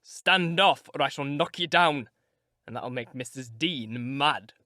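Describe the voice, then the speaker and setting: A man voicing a harsh, brooding, cruel grown man who speaks in grim, deliberate threats. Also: medium pitch, sharp tone, dry recording, studio quality, pitched below the performer's own voice, close to an everyday voice. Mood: menacing.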